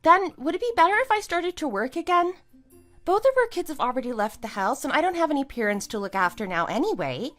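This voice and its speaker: An actress playing a put-upon but persistent middle-aged wife who reasons defensively in a scripted audio drama. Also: female voice, high-pitched, sing-song, quick pace, fairly bright tone, faint music behind. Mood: hopeful, persistent.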